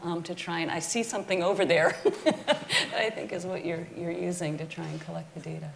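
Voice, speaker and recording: A woman's voice: lowish voice, a little fast, gravelly, slight background noise. A woman moderating a panel who asks friendly follow-up questions.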